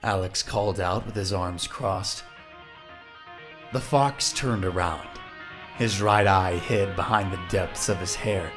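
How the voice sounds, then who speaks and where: A man's voice: deep voice, slow pace, over music. A man narrating an audio drama from a script.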